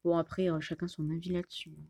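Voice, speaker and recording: A woman's voice: fairly low pitch, level tone, fast, warm tone. A young woman co-hosting a casual chat about couples, teasing her partner and laughing often. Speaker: co-host.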